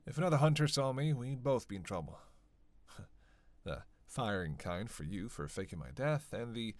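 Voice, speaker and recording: A man's voice: medium pitch, sing-song, darkish tone, no echo, natural voice. A man playing a witty, bloodthirsty vampire in a teasing roleplay monologue, sardonic and conversational. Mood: wry, weary. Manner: sardonic, playful, conversational with sighs and pauses. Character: teasing, witty, bloodthirsty male vampire, centuries-worn cynic. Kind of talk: flirtatious dark roleplay monologue to one listener.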